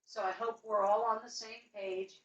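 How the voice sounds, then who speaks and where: Woman, higher-pitched, emphatic and indignant customer complaint, roomy distant call recording. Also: flat delivery, normal speed, muffled recording. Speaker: customer making a phone complaint. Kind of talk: recounting a complaint on a phone call. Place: indoors in a room, away from the mic.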